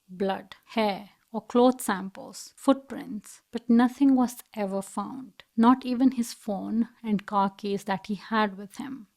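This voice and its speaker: A woman's voice: medium pitch, a little mellow. A woman reading scripted documentary narration as a true crime storyteller.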